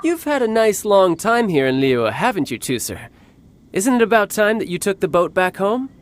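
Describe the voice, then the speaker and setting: A man playing a cocky, playful young warrior and doting older brother in a video game, smooth-talking and teasing. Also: high voice, big pitch swings, moderate pace, a little hiss or hum. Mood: gentle, patient. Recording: background music under the voice.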